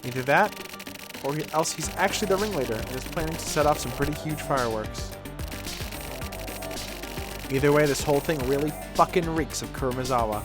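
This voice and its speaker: A man's voice: fairly high pitch, brisk, pauses often. A man streaming a game, reading its text aloud in character with a theatrical, colloquial delivery. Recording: music playing behind the voice.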